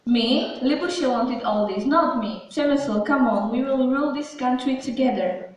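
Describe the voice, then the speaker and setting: A woman's voice: sits high, moderate pace, lightly acted. A woman playing a proud, scorned, vengeful woman in an amateur puppet show, speaking with indignant emphasis. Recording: very echoey room, far from mic.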